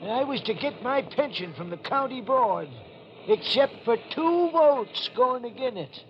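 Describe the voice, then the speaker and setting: Crazy old rustic coot, high voice, slow and swooping, vengeful, old dull radio recording. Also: male voice, animated, a little hiss or hum, full character voice. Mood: bitter. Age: older. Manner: folksy rustic speech with cackles. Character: vengeful, cackling old one-legged man, touched in the head. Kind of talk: 1940s radio mystery acting.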